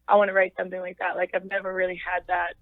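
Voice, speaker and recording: A young woman with a low voice, chatting in an interview as a singer-songwriter, thoughtful and halting with many restarts. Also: monotone, no echo. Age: young adult. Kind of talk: casual interview chat. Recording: tinny phone line.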